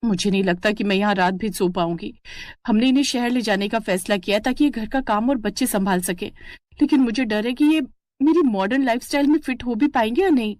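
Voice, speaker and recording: Casting: woman playing haughty young city bride, high, whiny, disdainful, dry cartoon story recording. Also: brisk, a little mellow, no echo, close to an everyday voice. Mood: disgusted, complaining. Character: spoiled, haughty young pregnant city daughter-in-law.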